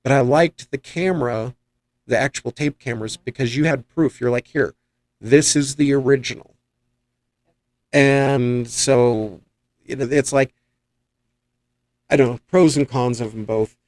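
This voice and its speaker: A man's voice: mid-range voice, steady tone, a little slow, pauses often, darkish tone, no echo. A man hosting a podcast, telling rambling, enthusiastic anecdotes in a casual chat. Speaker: podcast host and paranormal investigator.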